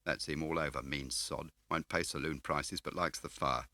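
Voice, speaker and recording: A man acts a sour pub landlord for an audiobook. He grumbles in curt, grudging phrases, low in pitch and dryly recorded. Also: steady tone, moderate pace, pushed and bright, no room sound, exaggerated voice. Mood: sour disapproval. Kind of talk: audiobook character voice.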